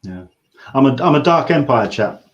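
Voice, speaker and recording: Halting English male interview host. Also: mid-range voice, lively intonation, highs cut off. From England. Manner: halting, searching for words. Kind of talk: casual livestream interview.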